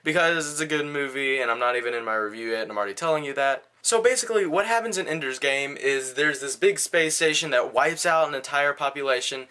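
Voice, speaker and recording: A man's voice: mid-range voice, fast talker, few pauses, sharp tone, smooth. A man giving a casual video movie review in a blunt, slangy, conversational way.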